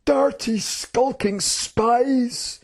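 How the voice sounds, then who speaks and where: A narrator playing a scornful, domineering male general in a fantasy audiobook, scoffing and emphatic, with drawn-out words. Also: medium pitch, dark tone, voice pushed up, natural voice. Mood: contemptuous.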